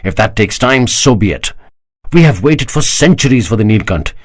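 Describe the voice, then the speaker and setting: A man's voice: medium pitch, animated, normal speed, voice pushed up, close to an everyday voice. A man doing a fervent regal emperor. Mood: measured. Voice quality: solemn, passionate, rising to fervor. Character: proud emperor, older man, fervent believer.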